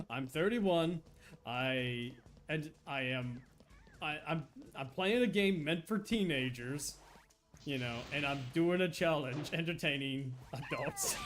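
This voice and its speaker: Male game streamer, 30s, high-ish animated voice, chatty and earnest, faint music under. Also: takes it slowly, pauses often. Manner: rambling, earnest, with restarts and chuckles. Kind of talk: casual live-stream chat.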